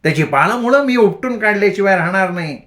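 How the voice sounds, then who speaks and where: A man voicing an eccentric middle-aged village woman who lectures boys on gambling in a slow, sing-song, theatrical way. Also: sits high, expressive, a bit bright, voice pushed up. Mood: preachy, cackling.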